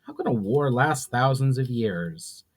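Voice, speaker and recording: A man's voice: medium pitch, expressive, unhurried, slightly rough. A man voicing an earnest male adventurer in a storybook reading, asking formal questions with urgency. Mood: urgent, curious. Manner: urgent, inquisitive, formal speech.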